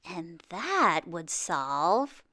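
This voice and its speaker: A woman voicing an eager, thoughtful young husband and inventor in a storybook, musing in drawn-out words or bursting out excitedly. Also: on the low side, voice pushed down, heavily acted. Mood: slow musing.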